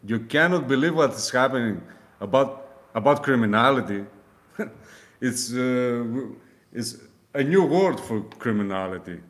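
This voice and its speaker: A man from Greece whose first language is Greek, speaking halting English as a guest, repeating himself and getting heated. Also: medium pitch, lively intonation, a bit bright. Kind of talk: casual conversation.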